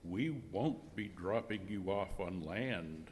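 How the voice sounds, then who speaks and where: A man playing a sinister, calmly menacing cruise ship captain in an amateur horror comedy radio skit. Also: deep voice, slow. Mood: calm menace. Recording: echoey hall, background noise.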